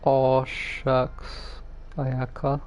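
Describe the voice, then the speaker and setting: A man giving casual live gameplay commentary, haltingly muttering to himself as he plays. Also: medium pitch, completely flat delivery, a little mellow, smooth, low-quality recording. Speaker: game streamer.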